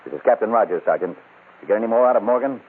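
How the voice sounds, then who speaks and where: An actor playing a brisk, stern police captain in an old crime radio drama. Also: male voice, fairly low pitch, slight background noise, natural voice. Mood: urgent, stern. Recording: tinny narrow-band old radio recording.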